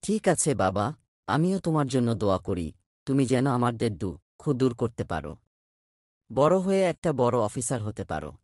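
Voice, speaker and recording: A man's voice: medium pitch, very animated, normal speed, a little mellow, no room sound, studio quality, lightly acted. A man playing a hardworking, respectful village fish farmer in a cartoon, earnest and plain-spoken. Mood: caring. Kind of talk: cartoon voice acting.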